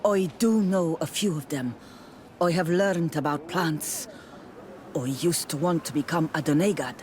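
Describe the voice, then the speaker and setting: A proud herbalist mother of an island clan in a fantasy game. She is blunt and terse, with a low female voice over faint outdoor noise. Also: a little slow, a little mellow. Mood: proud, stern. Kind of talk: video game dialogue acting. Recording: outdoor ambience noise behind voice.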